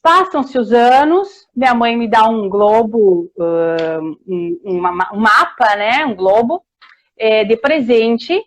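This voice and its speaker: A middle-aged southern Brazilian woman whose voice sits a little high and stays warm as she chats. She keeps circling back with restarts and little tag questions. It sounds like a video call. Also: slow. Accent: southern Brazilian Portuguese, Rio Grande do Sul. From Rio Grande do Sul, Brazil. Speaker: historian and author guest. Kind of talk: casual interview storytelling.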